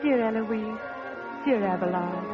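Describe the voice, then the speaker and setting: A woman's voice: mid-range voice, measured pace, loud music behind the voice, natural voice. A woman playing a sentimental young woman in love in a 1950s radio drama, speaking with tearful tenderness. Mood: tender. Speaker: guest singer. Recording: dull lo-fi 1950s radio broadcast.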